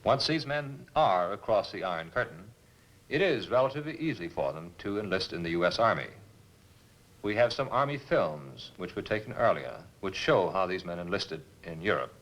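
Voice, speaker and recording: Low-pitched army colonel, formal. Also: male voice, expressive, normal speed, a bit bright, low-quality recording. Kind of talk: scripted studio interview.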